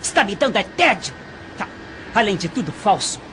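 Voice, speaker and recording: A big, brazen young Brazilian charmer in an audio drama. His pitch swings widely as he talks down to people with smug, domineering assurance. There is some background noise. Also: sing-song, moderate pace, pushed and bright, heavily acted. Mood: scornful, smug. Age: young adult.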